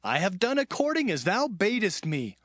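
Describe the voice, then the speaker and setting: An actor playing an eager, scheming younger son in a dramatized scripture reading, earnest and declamatory. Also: male voice, sits high, huge pitch swings, normal speed, a bit bright, dry recording, clean studio sound, exaggerated voice. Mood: eager, ingratiating. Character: younger son, deceiver posing as his brother, eager.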